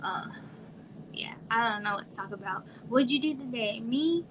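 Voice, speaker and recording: A soft-spoken woman from Arizona, with a somewhat high voice, chats casually with viewers from home. She trails off and now and then sinks to a near whisper. Steady hiss and room noise sit under the livestream audio. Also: moderate pace. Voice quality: quiet voice. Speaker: livestream host. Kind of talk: casual live chat with viewers.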